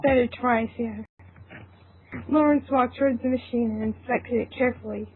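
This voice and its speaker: A high-pitched woman narrates a story in an informal, giggle-prone way. She trips over a word here and there, and the sound is thin like a phone call with faint noise. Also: steady tone, moderate pace. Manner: informal, breaks into giggles, stumbles over lines. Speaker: narrator of a fan fiction reading. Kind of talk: scripted story reading with giggly ad-libs.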